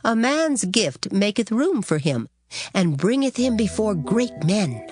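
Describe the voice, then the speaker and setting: A woman giving an earnest, measured, dramatized reading of scripture. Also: medium pitch, sing-song. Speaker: scripture reader. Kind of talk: dramatized Bible audiobook reading. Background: plucked guitar music.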